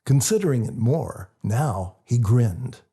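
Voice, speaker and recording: A man reading his own book as scripted audiobook narration, in a husky, gravelly voice. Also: medium pitch, animated, slow pace, noisy background.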